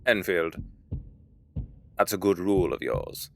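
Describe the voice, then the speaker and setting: A man playing a grave, discreet Victorian English lawyer in an audio drama, speaking formally and deliberately, with a probing air. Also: deep voice, lively intonation, vocal fry, no room sound, studio quality, heavily acted.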